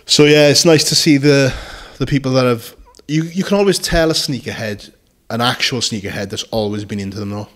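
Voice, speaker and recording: A man's voice: medium pitch, brisk, hardly any pauses, fairly bright tone. A British man in his late thirties hosting a casual podcast chat in a laddish, sweary, bantering way. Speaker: podcast host.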